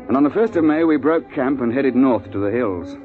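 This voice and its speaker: An actor playing a seasoned big-game hunting guide in a 1950s radio drama, brisk, exasperated and authoritative, with clipped old-boy phrasing. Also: medium pitch, lively intonation, natural voice. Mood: vivid storytelling. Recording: muffled 1950s radio recording with music.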